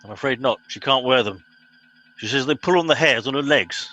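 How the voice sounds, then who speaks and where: A man playing a pompous, bossy middle-aged captain in an amateur comedy radio play, brisk and officious. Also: mid-range voice, sharp tone, muffled recording, soft background music, close to an everyday voice.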